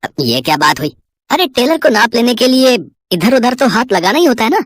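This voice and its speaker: Woman voicing a leering low-voiced male tailor. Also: sing-song, fairly quick, dry recording, studio quality. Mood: defensive, wheedling. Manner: leering, smooth-talking, boastful. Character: lecherous, sly male village tailor, oily and wheedling. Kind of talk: exaggerated cartoon story voice acting.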